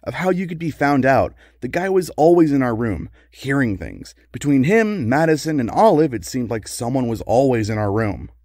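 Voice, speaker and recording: Male actor as long-suffering worried husband, wry, lively intonation, dry recording, audio drama. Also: mid-range voice, moderate pace, few pauses, no room sound. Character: exasperated, worrying husband of a secret superheroine. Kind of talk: audio comedy drama acting.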